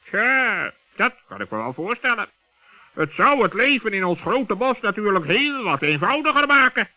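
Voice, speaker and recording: A man's voice: sits high, moderate pace, no room sound. A man playing a chatty, suspicious, blundering male raven in a children's radio play. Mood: sceptical. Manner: blurting, excitable, suspicious. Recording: dull, dry old radio recording.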